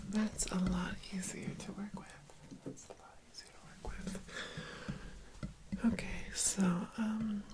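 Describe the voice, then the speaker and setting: A woman whispers her way through an ASMR roleplay as a shy, awkward organ harvester. Her breathy voice often breaks into creaky fry. She talks haltingly, circling back to repeat phrases softly. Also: medium pitch, poor audio. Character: awkward, shy female organ harvester prepping a living patient.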